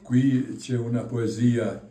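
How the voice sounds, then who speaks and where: A man in his sixties from Kosovo, a native Albanian speaker, presenting his own paintings in halting Italian full of restarts. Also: medium pitch, steady tone, warm tone. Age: 60s. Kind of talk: casual exhibition talk. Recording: echoey room from far off, faint noise.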